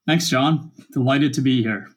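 A man's voice: medium pitch, slightly rough. Reflective man in his fifties. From Kenya. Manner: measured, reflective. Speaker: guest. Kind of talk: personal storytelling in an interview.

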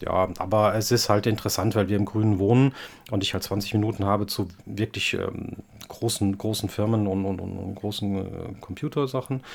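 Gravelly, low-voiced man. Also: steady tone, fairly quick. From German-speaking eastern Belgium. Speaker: podcast guest. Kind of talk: casual conversational interview answers.

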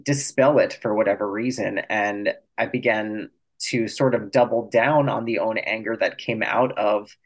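A man with a fairly low voice speaks as an author being interviewed. He is reflective and articulate, laying out his thoughts in carefully chosen words. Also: steady tone, unhurried. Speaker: author guest.

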